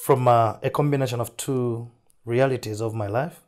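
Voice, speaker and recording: A man with a Zambian accent answers interview questions as an entrepreneur, his voice husky as he recovers from flu. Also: mid-range voice, steady tone, normal speed, darkish tone.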